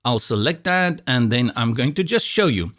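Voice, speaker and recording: A man's voice: mid-range voice, moderate pace. South African man explaining step by step. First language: Afrikaans. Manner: relaxed, step-by-step explaining with light humor. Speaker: tutorial instructor. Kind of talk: screen-recorded software tutorial.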